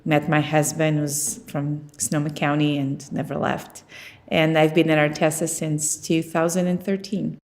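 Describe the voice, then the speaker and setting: A woman's voice: low-pitched, little pitch movement, moderate pace, darkish tone. A middle-aged woman from Portugal, talking conversationally about her work in an interview. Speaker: winemaker being interviewed.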